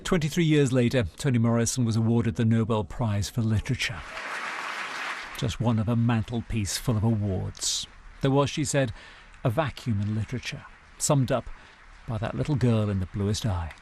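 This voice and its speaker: A man reporting for the news, reading a scripted voice-over in an even, deliberate way. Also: medium pitch, crowd noise, background noise.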